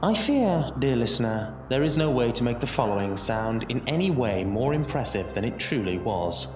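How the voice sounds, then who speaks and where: A man narrating an audio drama as a scripted story, theatrical and deliberate, with dramatic pauses. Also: medium pitch, big pitch swings. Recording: roomy echo under music. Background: music.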